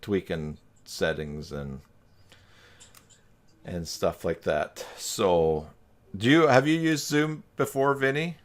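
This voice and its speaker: Male stream host, slightly low voice, casual and teasing, conversational delivery. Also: expressive, slow, pauses often. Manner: joking, teasing. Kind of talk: casual chat. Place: indoors on a video call live stream.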